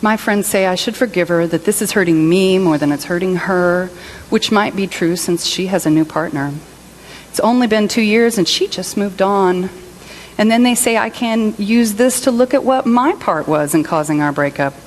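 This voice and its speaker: A woman's voice: mid-range voice, normal speed, a little hiss or hum, close to an everyday voice, poor audio. A woman performing a dramatic monologue as a hurt, self-pitying jilted partner, indignant and emphatic. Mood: defensive. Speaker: reader in a church service skit.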